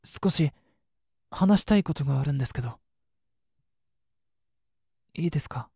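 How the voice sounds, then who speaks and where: A young man playing a shy, gentle junior colleague who speaks softly, haltingly and politely in a situation voice drama. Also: on the high side, animated, vocal fry, husky, no room sound, clean studio sound, heavily acted. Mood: shy, nervous. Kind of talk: Japanese situation voice acting.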